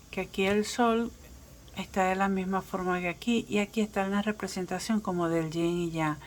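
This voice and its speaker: A woman's voice: mid-range voice, slight background noise. A Venezuelan woman with a Venezuelan Spanish accent, giving a casual, reassuring tarot reading and often restarting her sentences with fillers. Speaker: tarot reader.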